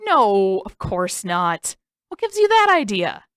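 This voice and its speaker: A woman's voice: mid-range voice, big pitch swings, slow, fairly bright tone, no room sound, studio quality. A woman voicing an intense, suspicious teenage boy in an audiobook, emphatic and insistent. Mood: defensive, insistent. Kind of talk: acted audiobook dialogue.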